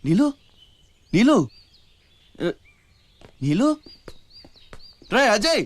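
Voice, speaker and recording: An actor playing a commanding gang boss with a conscience in a Telugu film drama. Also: male voice, very high-pitched, big pitch swings, drawn-out words, full character voice. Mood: alarmed. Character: commanding, remorseful gang boss, a grown man.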